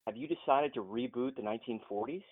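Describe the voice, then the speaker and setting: Guy playing fed up film producer, medium pitch, earnest and pushy, thin dry phone audio. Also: quick pace, no room sound, phone-quality sound, lightly acted. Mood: frustrated, pressing. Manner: earnest, insistent, confrontational. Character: frustrated, principled male film producer challenging his boss. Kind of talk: modern audio drama acting.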